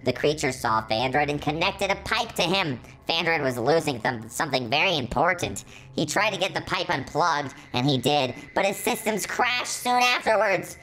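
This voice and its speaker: Woman as an excitable, low-pitched storytelling robot. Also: normal speed, rough recording. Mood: dramatic storytelling. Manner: exclamatory, dramatic, easily astonished. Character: excitable musical robot reading fan fiction about himself. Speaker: channel host. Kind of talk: animated story reading with reactions.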